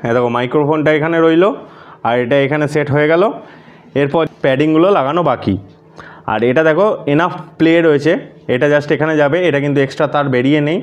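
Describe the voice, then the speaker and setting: A man's voice: a little high, quick pace, dull audio. A man narrating a casual step-by-step tutorial, explaining things and mixing in English words. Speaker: host of a motorcycle gear tutorial.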